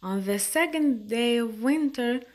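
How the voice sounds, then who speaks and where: A woman's voice: on the high side, expressive, unhurried, darkish tone. A woman reading a picture book aloud for children in a sing-song way, with chatty asides to young listeners. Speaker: children's storytime host.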